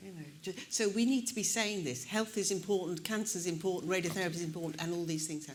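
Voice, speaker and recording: A woman's voice: lowish voice, fairly even intonation, fast, a little hiss or hum. A woman moderating a live panel, speaking in a chatty, emphatic way and rephrasing as she goes. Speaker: session moderator.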